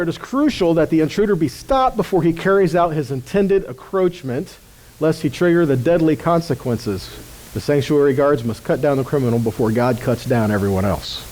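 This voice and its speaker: A man's voice: a little high, a little mellow, rough recording. A man giving an informal scripture teaching in a conversational way, often checking in with listeners. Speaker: ministry teacher.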